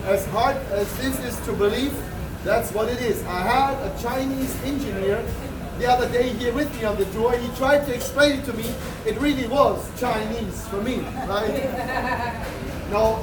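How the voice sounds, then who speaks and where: A man with a loud, projecting voice giving a chatty, joking guided tour to a group in a large ship kitchen. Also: extremely high pitch. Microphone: far camera in the crowd. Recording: echoey kitchen from far off with loud background noise.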